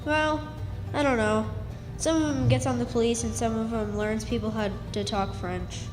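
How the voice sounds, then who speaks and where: Lady doing a plucky country boy, folksy know it all, highish voice, radio drama w echo. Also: steady tone, moderate pace, close to an everyday voice. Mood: explaining, sure. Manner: folksy, backwoods boyish delivery. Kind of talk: stage radio drama acting. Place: live stage in a hall. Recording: echoey hall, music behind.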